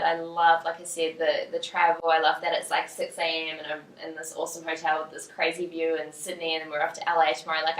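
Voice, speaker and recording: A woman's voice: lowish voice, fairly bright tone. A young woman entrepreneur talking in a casual vlog and teaching a workshop, upbeat and gushing with lots of fillers. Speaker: entrepreneur and speaker. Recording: echoey, distant from the mic.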